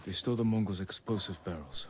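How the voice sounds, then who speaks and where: Deep, grave samurai. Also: male voice, fast talker, breathy edge. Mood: calm, guarded. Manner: terse, grave, low-key. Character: stoic, watchful samurai warrior, grown man.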